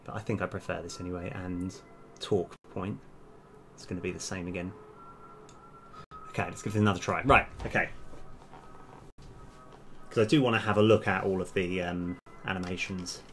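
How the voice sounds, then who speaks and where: A man's voice: sits low, steady tone, normal speed, long pauses, fairly bright tone, faint music behind. A man doing casual live-stream commentary as a game developer, thinking aloud in a hesitant, self-correcting way.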